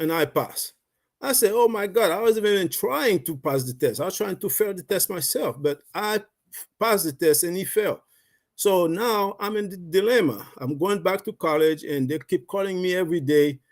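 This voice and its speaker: A man's voice: on the high side, darkish tone. A middle-aged man from Haiti with a Haitian accent, a guest telling his life story in a rambling, casual way with many fillers. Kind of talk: casual live-stream storytelling.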